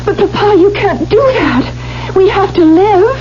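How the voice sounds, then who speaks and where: A very high-voiced, pleading young daughter. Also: female voice, animated, unhurried, dark tone. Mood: pleading, obedient. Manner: pleading, girlish. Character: anxious, pleading young daughter of an English gentleman. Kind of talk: 1970s radio drama acting. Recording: noisy, muffled old radio broadcast.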